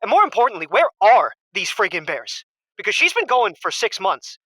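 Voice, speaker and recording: A man's voice: sits high, sing-song, moderate pace, sharp tone, dry recording, exaggerated voice. A man playing an anxious, suspicious husband calling a radio advice show, stammering and agitated in a comedy audio drama. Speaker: caller.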